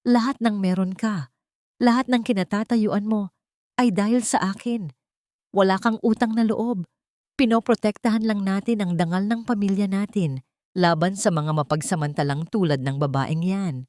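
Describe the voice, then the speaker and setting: A woman's voice: medium pitch, normal speed, darkish tone, no room sound, clean studio sound, pitched above the performer's own voice, lightly acted. A woman voicing a domineering, wealthy old mother who scolds with indignant fury in an audio drama. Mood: furious, commanding.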